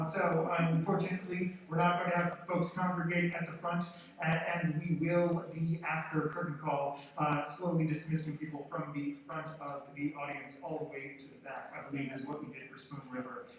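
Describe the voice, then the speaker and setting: A man who is a theater teacher and director, giving an informal, somewhat halting welcome speech to an audience. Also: fairly high pitch, a bit bright. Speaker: theater teacher and show director introducing a play. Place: large theater stage before an audience. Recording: very echoey auditorium, far off, faint noise.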